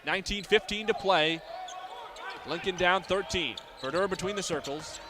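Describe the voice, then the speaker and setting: A young American man doing live sports play-by-play, describing the action in crisp detail over venue noise. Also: high voice, moderate pace. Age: young adult. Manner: crisp detailed descriptions, voice raised on big plays. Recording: live game ambience, clear background noise. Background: sports venue sounds.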